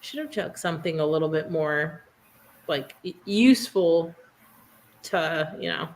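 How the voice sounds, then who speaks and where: A woman's voice: sits low, measured pace, a little mellow. Midwestern lady in her 30s rambling on a stream, trails off a lot, bit of hiss behind her. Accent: Midwestern American. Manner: chatty, self-deprecating, trails off mid-sentence. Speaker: livestream co-host. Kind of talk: casual livestream chat.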